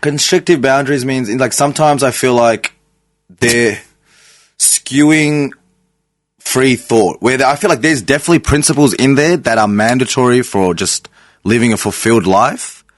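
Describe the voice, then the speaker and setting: A man's voice: mid-range voice, normal speed, no echo. A man in his twenties from Sri Lanka, a native Sinhalese speaker, chatting casually as a podcast guest, laid-back, laughing easily and trailing off mid-thought. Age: 20s. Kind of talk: casual chat. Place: podcast studio.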